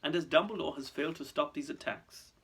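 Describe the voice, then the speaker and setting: Man acting a smooth, sneering aristocratic villain. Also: fairly high pitch, animated, moderate pace, a bit bright, voice pushed up. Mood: silky menace. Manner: lazy, smooth, mock-polite. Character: cold, sneering, smug aristocratic male villain.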